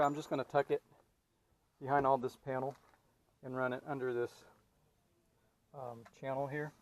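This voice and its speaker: A man with a mid-range voice talks through a hands-on installation as a DIY vlogger. His delivery is informal and halting, as if thinking aloud while he works. The style is that of a casual how-to video. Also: medium pitch, lo-fi sound.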